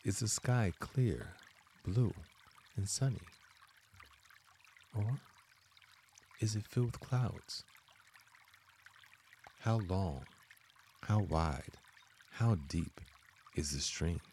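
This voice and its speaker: A man narrating a scripted guided meditation as host, in measured, deliberate phrases with frequent pauses. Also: fairly low pitch, warm tone, a little hiss or hum.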